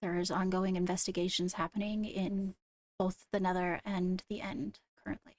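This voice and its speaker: A woman playing a composed court leader in a Minecraft roleplay, speaking formally and haltingly as she gives a briefing. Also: lowish voice, little pitch movement, a little mellow, dry recording, natural voice. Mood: measured, serious. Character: measured female court leader briefing on attacks. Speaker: roleplayer leading a court meeting.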